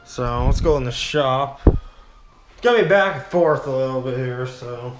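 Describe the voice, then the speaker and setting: A man's voice: mid-range voice, a little slow, over music. A young man hosting a casual hobby show-and-tell, rambling informally as he addresses his viewers. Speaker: hobbyist video host.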